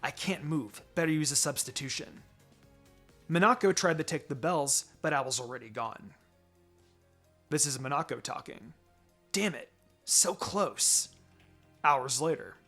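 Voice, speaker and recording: A man with a slightly high voice narrates a piece of fan fiction. His delivery is expressive and dramatic, as a scripted story read aloud. Music plays faintly behind him. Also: unhurried, long pauses. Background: background music.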